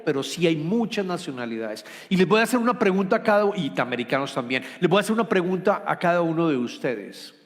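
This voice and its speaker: Emphatic pastor in a reverberant hall. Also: male voice, a little high. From Colombia. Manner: earnest, rising to emphatic repetition. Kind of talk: sermon. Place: church hall. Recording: long reverb of a church hall.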